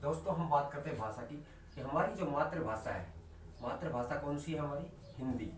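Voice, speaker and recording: A man's voice: on the high side, a little hiss or hum. A man teaching a Hindi class online, asking his students questions, answering them himself and repeating the key terms. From India. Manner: slows right down to stress definitions. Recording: echoey room recorded from a distance.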